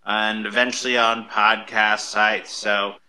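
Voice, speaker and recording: A man with a very bright, forward voice hosts a live audio show. He talks in a chatty, enthusiastic way, welcoming and upbeat in his delivery. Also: on the low side, level tone, slow pace, poor audio. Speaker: host of an online audio show. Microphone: live social audio room call.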